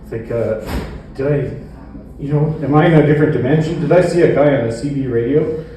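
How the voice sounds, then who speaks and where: A man doing a stand-up comedy routine on stage, with a halting, rambling delivery. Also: medium pitch, dark tone. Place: indoor comedy venue stage. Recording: echoey room, distant mic, faint noise.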